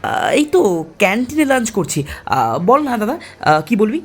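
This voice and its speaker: A performer playing a shy, quiet young male college student in an audio story, hesitant and mild. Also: mid-range voice, big pitch swings, moderate pace. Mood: mild, obliging.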